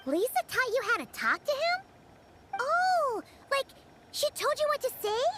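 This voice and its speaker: Childlike fairy sidekick for a game: very high, animated, chatty, cheerful. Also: female voice, a little slow, background noise, heavily acted. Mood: impressed. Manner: refers to self in third person, exclamatory. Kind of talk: video game voice acting. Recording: loud game music behind.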